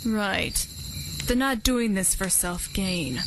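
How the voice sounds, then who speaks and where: A woman playing a stern, protective elderly leader of a young band of fighters, who speaks in a measured, commanding way. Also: mid-range voice, lively intonation, noisy background. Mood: grave. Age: older (figure). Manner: measured, commanding, matter-of-fact. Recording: night ambience with loud crickets.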